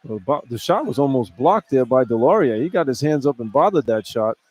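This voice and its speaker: A man's voice: medium pitch, sing-song, brisk, a little mellow. A man giving informal, plain-spoken color commentary on a basketball game, repeating points for emphasis, from an arena broadcast position. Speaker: radio color analyst. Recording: clear arena ambience noise. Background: arena ambience.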